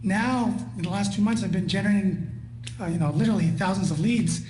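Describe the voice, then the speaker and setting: A man from Guatemala talking casually and haltingly as a participant on a live webinar call. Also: sits high, darkish tone. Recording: echoey room with faint hum.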